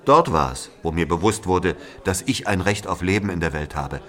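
A man's voice: low voice, normal speed, fairly bright tone. An actor playing a troubled Algerian man reliving his haunted boyhood in an intense, introspective literary monologue. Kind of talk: literary monologue, dramatic narration. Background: plucked guitar music.

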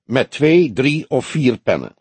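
A man narrating plays a grizzled old police detective for an audiobook. The voice is husky and swings freely in pitch, with a shrewd, mocking, deliberate delivery. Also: big pitch swings, a little mellow, no echo, exaggerated voice. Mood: teasing.

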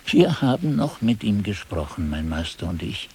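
Elderly monk narrator for radio drama: low register, wide swings from mutter to exclamation, forgetful and haunted. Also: male voice, very animated, a little mellow, slightly rough, exaggerated voice. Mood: grave recounting. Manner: halting self-talk, swinging from muttering to exclaiming. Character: aged monk chronicler, forgetful, haunted, musing over his manuscript.

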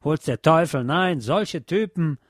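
A man's voice: fairly high pitch, animated, measured pace, no echo, studio quality, pitched above the performer's own voice. A man voicing a furious, scornful soldier in a story reading, hissing, mocking and bullying as he speaks. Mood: sneering.

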